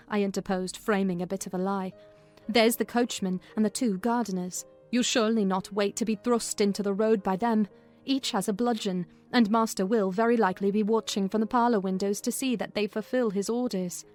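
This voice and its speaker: A mid-pitched female narrator acted by a woman plays a sensible household servant. She tells a period novel with composure, over faint music. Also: steady tone, fairly quick, darkish tone, natural voice. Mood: composed. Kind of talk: scripted narration of a classic novel.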